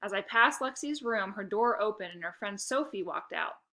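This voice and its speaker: A woman narrating a children's story aloud with expressive, scripted delivery. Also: medium pitch, normal speed.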